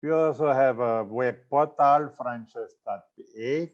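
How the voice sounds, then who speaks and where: A man's voice: mid-range voice, highs cut off. Older Austrian man giving a seminar. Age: 60s. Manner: loose, halting delivery with many fillers and self-corrections. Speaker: franchise consultant giving a seminar. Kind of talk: presentation seminar talk.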